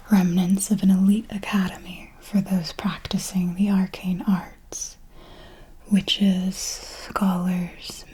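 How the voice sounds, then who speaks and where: A woman playing an elegant vampire mentor in a whispered ASMR roleplay, formal and deliberate, possessive, teasingly menacing and protective, with a breathy delivery. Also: medium pitch, mellow. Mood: solemn, hushed. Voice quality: breathy, mostly unvoiced whisper. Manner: slow, deliberate, formal, with measured pauses.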